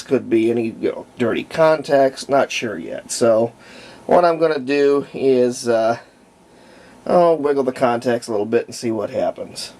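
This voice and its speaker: A man narrating casual hands-on repair work, thinking aloud in short, halting phrases. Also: mid-range voice, warm tone. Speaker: hobbyist demonstrating antique radio repair. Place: room at home, indoors.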